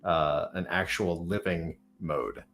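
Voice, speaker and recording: Low-voiced man musing in a chat. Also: steady tone, takes it slowly, gravelly, fairly bright tone, soft background music. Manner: restarts and repeats words, frequent "right?". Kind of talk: casual podcast conversation.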